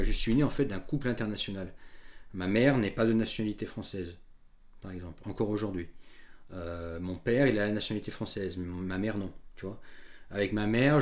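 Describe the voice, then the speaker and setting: A man in his thirties speaks straight to his viewers as a dating coach, in a casual, unscripted monologue. His voice sits a little low. French is not his first language, and he often stalls on fillers and restarts his sentences. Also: a little mellow. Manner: hesitant, many fillers and restarts. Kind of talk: casual talking-head monologue.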